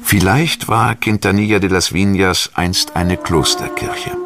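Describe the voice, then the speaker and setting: A man's voice: low-pitched, unhurried. A man reading scripted documentary narration as the narrator. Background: background music.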